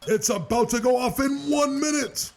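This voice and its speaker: A man doing dramatic, animated scripted play-by-play of a fight. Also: very high-pitched, normal speed, faint music behind. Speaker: fight commentator.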